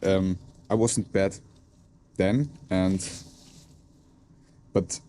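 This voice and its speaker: Low-voiced man with a Swiss German accent. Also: steady tone, drawn-out words, dark tone, a little hiss or hum. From Switzerland. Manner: hesitant, searching for words, frequent restarts. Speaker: content creator. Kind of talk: reflective casual talk to camera.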